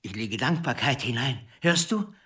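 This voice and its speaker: A man's voice: medium pitch, big pitch swings, moderate pace, pushed and bright, far from the mic. An actor playing a stern, grieving male piano teacher in a literary radio drama, theatrical and emotionally intense. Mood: anguished, tender.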